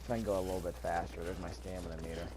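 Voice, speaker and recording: A man's voice: deep voice, level tone, rapid-fire. A man giving casual let's-play commentary, rambling and thinking aloud as he goes. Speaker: gameplay video host. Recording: clear background noise.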